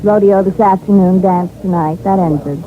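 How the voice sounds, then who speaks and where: A woman's voice: fairly low pitch, steady tone, moderate pace, over music, close to an everyday voice. An actress in a 1950s radio drama playing a jaded, flippant small-town newspaper woman with a sardonic, dismissive manner. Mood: bored, scornful. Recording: tinny band-limited old radio recording, background voices.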